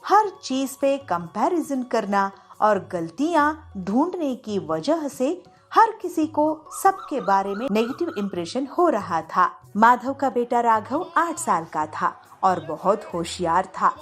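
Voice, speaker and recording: A woman narrating a scripted moral story for an animated children's video. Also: fairly high pitch, takes it slowly. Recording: music behind the voice.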